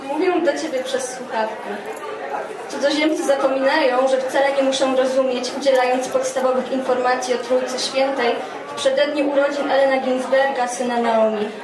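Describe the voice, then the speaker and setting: High-voiced young woman reading poetry. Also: fairly even intonation, a crowd in the background. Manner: reads from a book in short measured phrases. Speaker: poet reading own work at a festival. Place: dark stage at a live poetry event, indoors. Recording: roomy live stage sound, distant, audience around.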